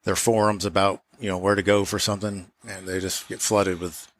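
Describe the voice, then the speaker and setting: A man with a fairly low voice talks as a show guest who runs a shop. He explains things in an informal, conversational way. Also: level tone, fairly quick, fairly bright tone. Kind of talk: casual interview chat.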